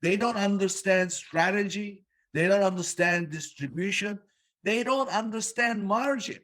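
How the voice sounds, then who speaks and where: A man's voice: sits high, unhurried, fairly bright tone. A man born in Turkey hosting a business interview podcast, talking emphatically and repeating words for stress. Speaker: podcast host.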